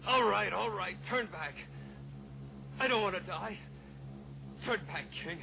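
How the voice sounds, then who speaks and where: An actor playing a defiant but cowardly murderer held captive in an old-time radio drama. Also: male voice, high voice, takes it slowly, gravelly, pushed and bright, heavily acted. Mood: panicked. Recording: noisy old radio recording, engine drone.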